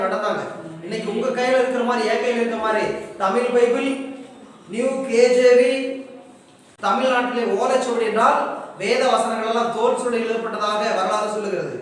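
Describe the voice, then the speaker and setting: A man's voice: extremely high pitch, fairly bright tone. A man preaching a fervent sermon, exhorting emphatically with repeated rhetorical questions. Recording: echoey hall, distant mic, music behind.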